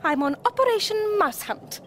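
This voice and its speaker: A woman's voice: very high voice, expressive, fairly bright tone, a little hiss or hum, pitched above the performer's own voice. A woman voicing a small, earnest male mole from a children's storybook in an eager, exclamatory manner. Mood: determined. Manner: exclamatory, hurried, determined.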